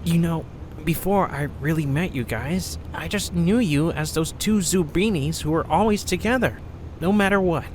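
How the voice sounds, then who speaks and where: An actor playing a kind, wise young male creature friend with a gentle, reassuring manner. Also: fairly high pitch, normal speed, slight background noise, natural voice.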